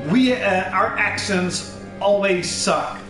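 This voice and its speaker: A young Dutch man talks in a strong Dutch accent, his voice sitting high and his delivery slow and unhurried. He rambles and restarts, dropping fillers and the odd curse. The room is echoey, with music playing clearly beneath him. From Netherlands. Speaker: gaming channel host. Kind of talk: casual personal monologue. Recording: roomy echo with music underneath.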